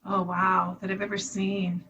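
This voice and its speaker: A woman's voice: medium pitch, completely flat delivery, measured pace, bright, forceful tone, husky, distant mic. A Canadian woman in her fifties, a charity director, talking reflectively in an interview and laughing easily. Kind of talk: conversational interview.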